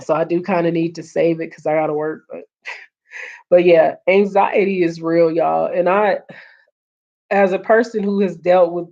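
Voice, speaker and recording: Hoarse woman chatting on a lo-fi live stream. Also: sits low, a little mellow. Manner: earnest, repeats points, greets chat viewers by name. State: hoarse, losing her voice. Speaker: livestream host. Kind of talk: casual live-stream chat. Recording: dull lo-fi stream audio.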